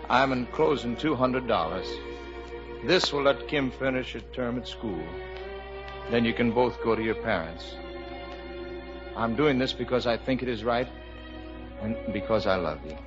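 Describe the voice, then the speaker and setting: An actor playing a remorseful, devoted gentleman husband in a 1950s radio drama, earnest and tender in delivery. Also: medium pitch, animated, measured pace, long pauses, close to an everyday voice. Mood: sorrowful. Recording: muffled vintage radio recording. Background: music.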